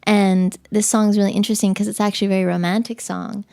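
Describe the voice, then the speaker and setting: Chatty podcast guest, a woman from the Cayman Islands, relaxed interview talk full of fillers. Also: mid-range voice, fast, creaky, clean tone. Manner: chatty, many fillers and restarts. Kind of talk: casual interview chat.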